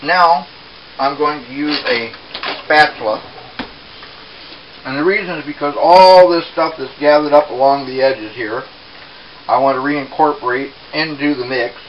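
A man presenting a casual home cooking demonstration in an unhurried, explanatory way, recorded in a kitchen. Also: fairly high pitch. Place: small home kitchen, indoors. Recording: dull, hissy home camera audio.

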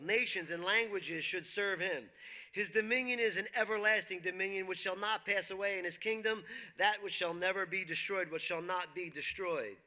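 A man's voice: high-pitched, pushed and bright. A man in his forties preaching a sermon on a church stage, emphatic, reading scripture aloud and asking the congregation questions. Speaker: pastor. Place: church stage, dark brick wall behind.

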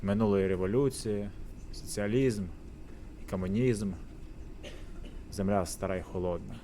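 A man puts on a washed-up, boozy virtuoso musician in a visual novel. He is grandiose and philosophizing, declaiming in a low voice, with noise behind him. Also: steady tone, unhurried, mellow. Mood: wistful. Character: pompous drunken male virtuoso musician living in a doghouse.

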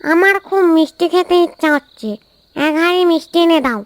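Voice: very high-pitched, a little fast. A performer voicing a greedy, stubborn little ghost child in a cartoon, always demanding. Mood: whiny demanding.

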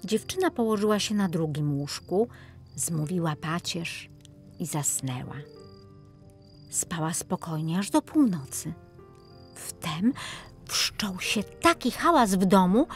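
A woman narrating a scripted children's fairy tale as an expressive storyteller. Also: medium pitch. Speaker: main narrator.

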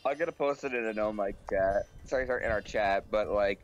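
A man's voice: lowish voice, fairly quick, muffled recording, soft background music. A man in his late twenties chatting casually on a voice call, rambling, laughing and jumping to side topics. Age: 20s. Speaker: co-commentator.

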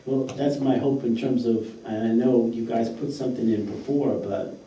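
A man with a very dull, dark tone and middling pitch discusses things conversationally in a meeting. His voice reaches a far-off mic through a lot of room echo. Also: fairly even intonation, moderate pace. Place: mid-sized indoor meeting room. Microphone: far room microphone. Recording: echoey room, distant mic, faint noise.

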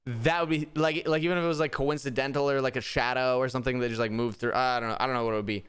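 A man's voice: mid-range voice, steady tone, racing, fairly bright tone, clear, resonant tone. A young man reacts live as a streamer, close on a desk microphone in a small room. He talks informally, reading aloud and musing as he goes. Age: young adult. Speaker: streamer reacting to a horror video. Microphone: desk microphone close to the mouth.

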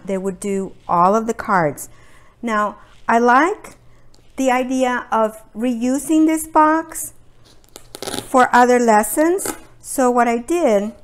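A middle-aged woman giving a demonstration for parents as an early childhood educator, speaking in simple, deliberate phrases with short pauses. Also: a little high. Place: indoors at a table by a brick fireplace.

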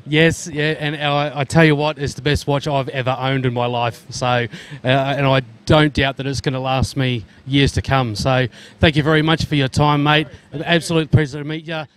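A man's voice: mid-range voice, little pitch movement, normal speed, hardly any pauses, a bit bright, clean tone. A man in his thirties with an Australian accent, interviewing exhibitors at an indoor trade show on a handheld mic in a casual, friendly way. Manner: friendly, chatty, Aussie slang like mate. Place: indoor expo hall, busy.

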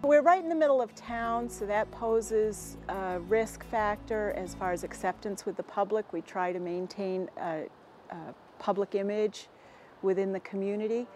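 An American woman in her forties, a dairy farmer answering interview questions in a plainspoken, halting and measured way. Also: mid-range voice, a little mellow, soft background music. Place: inside a barn with hay bales. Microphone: lapel mic.

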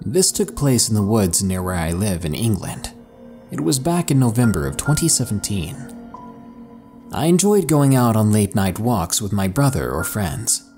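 A low-pitched man narrates a scary story with slow, hushed delivery and dramatic pauses. His intonation rises and falls with lively swings. Faint music plays quietly underneath. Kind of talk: scripted horror narration. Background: soft background music.

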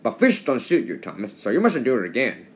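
A man reads the part of a stern but kindly railway boss from a children's story. He speaks formally, in exclamations, with lively pitch. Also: a little fast. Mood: gently scolding.

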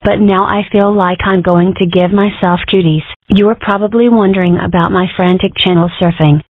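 A woman voicing an excitable, guilt-ridden young girl fox in a cartoon comic dub. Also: mid-range voice, moderate pace, no echo, lightly acted. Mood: anxious. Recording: thin, band-limited, phone-like sound.

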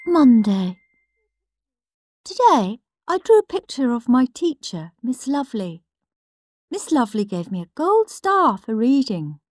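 A woman's voice: fairly high pitch, big pitch swings, measured pace, no room sound, clean studio sound. A woman voicing a smug, prim goody-goody little boy reading his diary in an earnest sing-song for a storybook.

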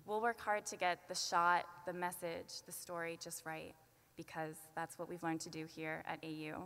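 A young woman in her twenties giving a commencement speech at a podium, earnest and measured with light self-deprecating asides. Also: fairly low pitch, flat delivery, a bit bright. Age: 20s. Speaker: student commencement speaker. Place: large arena at a podium. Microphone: podium microphone. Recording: long arena reverb.

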